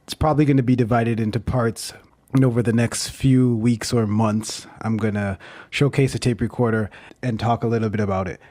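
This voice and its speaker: A man presenting an informal explainer vlog, talking things through in a measured, explanatory way. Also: mid-range voice, steady tone, darkish tone, no echo.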